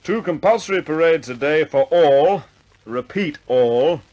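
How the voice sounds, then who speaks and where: A stern, wry RAF squadron commander in a radio play. His voice sits fairly high and swings animatedly as he barks clipped, exasperated orders. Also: animated, a little slow, a little mellow, highs cut off. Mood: wry, decisive. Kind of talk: radio drama acting.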